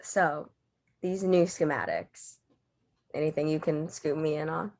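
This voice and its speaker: A woman plays an inquisitive reporter in an amateur audio drama. Her voice is a little low for a woman, and her delivery is light and good-humoured. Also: measured pace, creaky, a little mellow. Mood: curious, amused. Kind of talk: fan audio drama table read.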